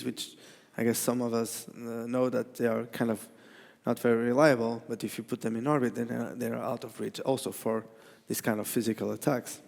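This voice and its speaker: A man with a Portuguese accent gives a technical talk in a large hall. His voice is gravelly and sits at an ordinary pitch, and he often stops and restarts his sentences. The sound rings with a long hall reverb. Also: darkish tone. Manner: halting, many restarts and fillers. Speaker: conference speaker.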